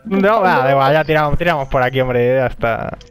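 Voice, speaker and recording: A man's voice: mid-range voice, brisk, smooth. Joking gamer guy. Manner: joking, swearing, loud bursts. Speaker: streamer playing with friends. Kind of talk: casual gaming chat over voice call.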